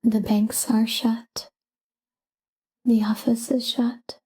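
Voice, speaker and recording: A woman softly guiding a sleep meditation in a calm, whispery, breathy voice, speaking in short, unhurried phrases with pauses. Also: a little high. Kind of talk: soft guided sleep meditation, whispered talk-down.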